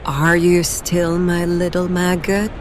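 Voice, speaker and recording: A woman's voice: fairly low pitch, flat delivery, slow pace, a little hiss or hum. A woman playing a ghostly, deranged mother in a horror audio drama, crooning sweetly and menacingly with eerie whispers.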